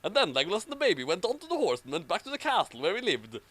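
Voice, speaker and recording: A man narrates fan fiction as the host, with an amused, theatrical delivery. His voice is slightly high and swoops up and down a lot. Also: huge pitch swings, racing, bright, forceful tone, no echo. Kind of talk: comic fan fiction reading.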